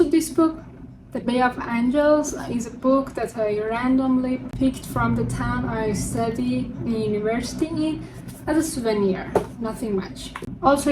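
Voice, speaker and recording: High-voiced woman, English not her first language. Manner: chatty and upbeat, presenting books one by one. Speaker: book vlogger. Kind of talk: casual vlog showing her unread books. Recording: distant mic with construction noise. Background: construction noise from a neighbor.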